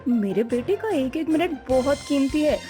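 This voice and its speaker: Snobbish mother acted by a woman, story cartoon: high, condescending, scornful delivery over music. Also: lively intonation, moderate pace. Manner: disdainful, condescending. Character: haughty, scornful mother of a surgeon son. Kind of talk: animated moral-story dialogue. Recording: music behind the voice.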